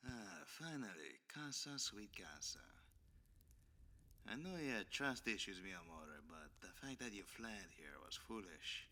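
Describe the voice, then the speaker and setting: A man's voice: fairly low pitch, expressive, a little slow, pushed and bright, exaggerated voice. A man playing a cocky, flirtatious, easily flustered masked Spanish vigilante with a Spanish accent, in a theatrical, bantering ASMR roleplay drama. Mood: smug, sly.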